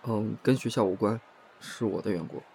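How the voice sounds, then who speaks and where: An actor playing a reserved, polite young man who speaks softly and hesitantly in an audio drama. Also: lowish voice, normal speed, vocal fry, breathy edge, full character voice. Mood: subdued, reserved. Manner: hesitant, courteous, restrained. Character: soft-spoken, reserved, polite young man seeking work. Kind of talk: Chinese audio drama acting.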